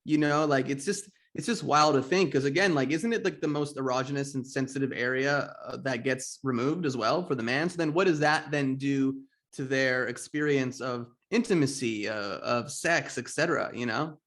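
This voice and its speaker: A man's voice: mid-range voice, moderate pace, few pauses, a bit bright. A man in his forties hosting a podcast interview, talking candidly and rambling with lots of fillers and restarts. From United States. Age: 40s. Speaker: podcast host.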